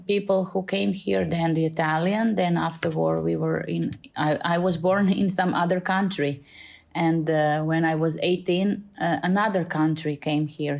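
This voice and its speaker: Croatian woman, middle-aged, deep and slow, groping for English words, podcast guest in a library. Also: creaky, darkish tone. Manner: hesitant, many fillers and restarts. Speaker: school librarian and Italian teacher, guest. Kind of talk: podcast interview. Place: school library.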